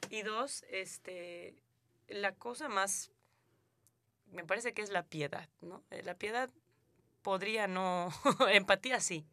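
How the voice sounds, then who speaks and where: A Mexican actress with a Mexican accent, speaking earnestly as a radio interview guest, with frequent fillers and restarts. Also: female voice, medium pitch, slow, long pauses, bright, forceful tone, no echo.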